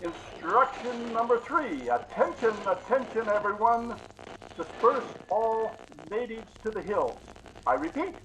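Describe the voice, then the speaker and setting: A man's voice: high-pitched, bright, forceful tone, a little hiss or hum, full character voice, poor audio. A man playing a solemn, officious emergency announcer on the radio in a comedy drama. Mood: urgent, official. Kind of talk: formal broadcast announcement.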